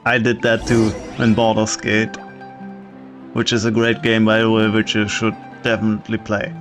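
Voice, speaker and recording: Flat-toned man commenting on a game. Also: fairly low pitch, moderate pace. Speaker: game streamer. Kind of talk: casual gameplay commentary. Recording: game music behind the voice.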